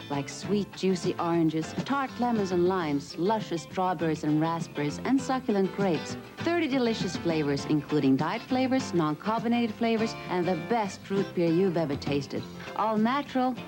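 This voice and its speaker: A woman delivering a scripted soft drink commercial with enthusiastic praise. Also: on the low side, expressive, normal speed. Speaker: commercial spokeswoman. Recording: old TV ad with music.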